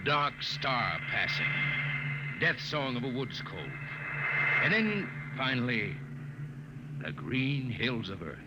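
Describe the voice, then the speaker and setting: A man's voice: mid-range voice, very animated, slow pace, long pauses, very noisy. A man narrating a 1950s radio drama as a spaceship's chief jetman, earnest in tone. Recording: old radio broadcast with loud music bed.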